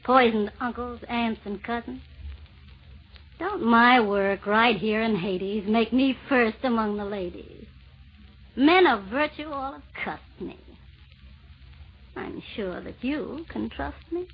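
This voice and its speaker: A woman's voice: a little high, slow, breathy edge, soft background music, exaggerated voice. A woman playing a seductive, vengeful poisoner in hell, purring theatrical rhymes in a 1930s radio comedy. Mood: boastful. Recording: dull old-time radio broadcast recording.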